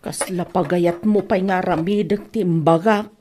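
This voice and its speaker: A woman's voice: lowish voice, fairly even intonation, normal speed, a little mellow, a little hiss or hum, lightly acted. A woman with an Ilocano accent playing a sulky, greedy, dramatic younger sister in a comedy drama.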